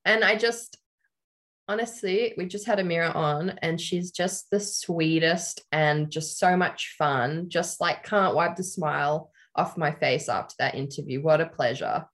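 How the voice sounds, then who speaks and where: A woman with a deep, low voice co-hosting a casual chat, talking loosely and laughing often. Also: unhurried, fairly bright tone. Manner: giggly, chatty, laughs often. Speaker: podcast co-host. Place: Australia.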